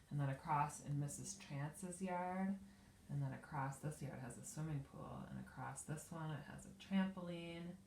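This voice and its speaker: A woman teacher reading a children's storybook aloud expressively, pausing to explain the pictures. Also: low-pitched, vocal fry, a little mellow, slight background noise. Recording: echoey room, distant from mic.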